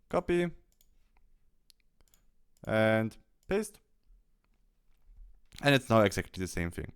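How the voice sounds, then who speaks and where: A man presenting a software tutorial, explaining each step as he works, with keyboard and mouse clicks. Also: mid-range voice, clean tone, no echo, clean studio sound. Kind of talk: software tutorial narration, explaining steps while working.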